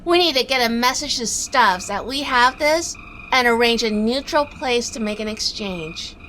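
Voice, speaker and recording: A woman's voice: a little high, lively intonation, normal speed, bright, forceful tone, a little hiss or hum, rough recording. A woman playing a wisecracking, bossy private eye in a 1940s noir audio drama, sardonic and secretly lovestruck. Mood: sharp, exasperated. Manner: sardonic, scolding. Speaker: lead actor and narrator.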